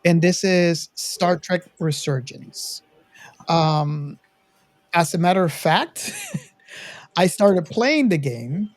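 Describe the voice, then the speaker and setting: A man with a fairly high voice hosts a casual hobby podcast. He meanders and pauses, leaning hard into the start of his sentences. The sound is very dry, with no room around it. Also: no room sound. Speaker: podcast host.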